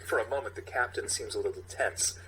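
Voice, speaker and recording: A man's voice: lowish voice, a little fast, bright, forceful tone, a little hiss or hum, rough recording. A man co-hosting a podcast, chatting casually and reading out script directions. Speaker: podcast co-host.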